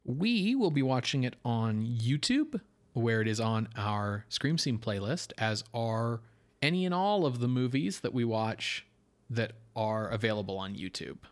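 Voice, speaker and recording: Male podcast co-host, mid-range voice, measured and explanatory, film talk from notes and off the cuff. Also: medium pitch, very animated. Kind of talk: film discussion, partly prepared notes.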